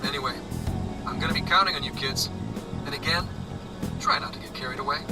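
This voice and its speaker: A man playing a gruff, streetwise adult who talks casually to kids in a video game dub. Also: a little high, quick pace, loud music behind the voice, close to an everyday voice, rough recording. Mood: wry, cautioning.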